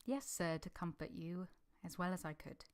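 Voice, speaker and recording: Casting: a woman playing devoted young servant heroine, slightly low voice, earnest and composed, close dry sound, vintage radio drama. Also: no room sound, natural voice. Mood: tender, loyal. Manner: earnest, deferential, measured. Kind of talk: old-time radio drama acting and narration.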